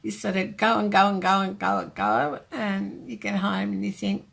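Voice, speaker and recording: Gravelly older Australian woman chatting. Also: mid-range voice, fairly even intonation, normal speed, fairly bright tone. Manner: rambling, greets viewers by name. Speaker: craft demonstrator hosting a live stream. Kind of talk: chatty live-stream craft talk.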